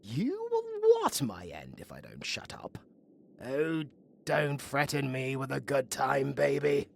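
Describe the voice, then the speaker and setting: A man's voice: medium pitch, huge pitch swings, slow pace, gravelly, slight background noise, full character voice. A British man playing a flirty, sardonic undead necromancer villain in a theatrical audio roleplay. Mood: indignant, flirty. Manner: theatrical, swearing, swinging between hushed murmurs and outbursts.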